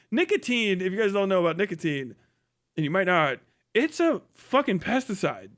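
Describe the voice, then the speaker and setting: A middle-aged man speaks in a notably high register for a male voice. He delivers a comedic livestream rant, blunt and mocking, with heavy casual swearing throughout. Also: big pitch swings, normal speed, a bit bright. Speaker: live streamer, host.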